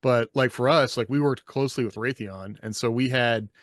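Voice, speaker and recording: A man's voice: medium pitch, moderate pace, no echo, studio quality. A man casually explaining his thoughts in discussion as a podcast co-host and author.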